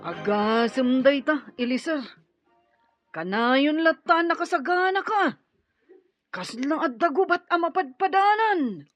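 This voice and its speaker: A woman's voice: high voice, animated, a little slow, soft background music. A woman playing a loyal young tribeswoman warrior in an Ilocano-accented radio drama, speaking earnestly and dramatically. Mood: concerned. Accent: Filipino, Ilocano.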